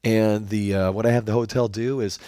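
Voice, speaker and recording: A man hosting his own podcast, talking solo in an upbeat, enthusiastic coaching tone, his voice hoarse. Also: on the low side, fairly even intonation, moderate pace, dark tone, dry recording, clean studio sound. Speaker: podcast host.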